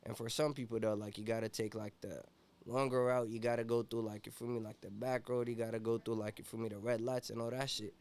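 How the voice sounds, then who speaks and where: A man's voice: medium pitch, flat delivery, fast talker, darkish tone, smooth. A young man from Ethiopia, a rapper guest in a casual interview, talking laid-back and slangy with constant "you feel me" fillers.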